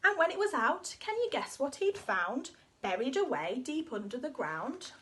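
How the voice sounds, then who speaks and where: A woman reading a rhyming children's story aloud in an expressive, sing-song way. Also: sits high, moderate pace.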